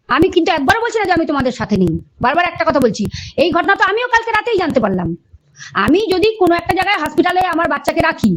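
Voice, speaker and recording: A woman speaking defensively and insistently in a heated phone conversation. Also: very high-pitched. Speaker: animal rescuer. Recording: phone call recording with music underneath.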